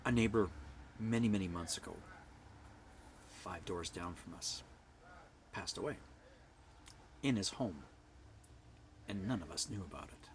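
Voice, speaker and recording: A middle-aged man from Canada with a loud voice, ranting bluntly to camera and swinging from hushed whispers to forceful outbursts. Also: low-pitched, measured pace, long pauses, slight background noise. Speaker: vlogger. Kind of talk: personal rant to camera.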